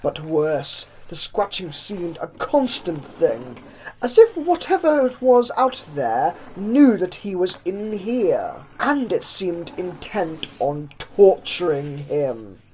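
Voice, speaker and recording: A woman reading a horror story aloud as a narrator, with deliberate, theatrical emphasis. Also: fairly low pitch, very animated, darkish tone, a little hiss or hum, low-quality recording. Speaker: narrator reading fan fiction.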